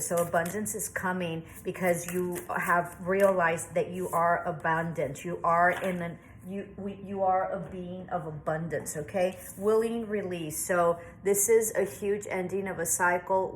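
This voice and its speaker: A woman's voice: lowish voice, a little hiss or hum, poor audio. A woman giving a casual tarot reading to camera, chatty and reassuring, thinking aloud with plenty of fillers. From Southwestern United States. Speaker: tarot reader.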